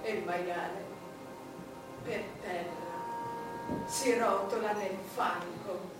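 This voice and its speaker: A woman's voice: lowish voice, fairly even intonation, drawn-out words. An actress playing an ageing, proud, paranoid and imperious queen in a theatrical stage monologue, with dramatic declamation. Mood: lonely, bitter. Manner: dramatic declamation, swinging from hushed brooding to loud outbursts. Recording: echoey stage, distant mic, loud music behind.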